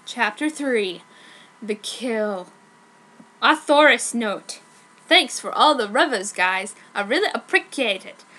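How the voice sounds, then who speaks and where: Female amateur reader, high voice, unhurried, hammy comic storytelling of fan fiction. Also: lively intonation, bright, forceful tone, a little hiss or hum, rough recording.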